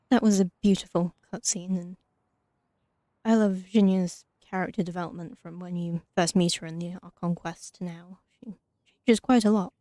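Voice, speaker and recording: Mellow woman doing dry, close game commentary. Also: lowish voice, dry recording. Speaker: let's play host. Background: faint game music.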